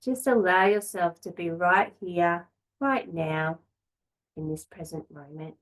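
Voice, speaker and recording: A woman teaching a yoga class, giving calm, gentle relaxation guidance in short phrases with long pauses. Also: fairly low pitch.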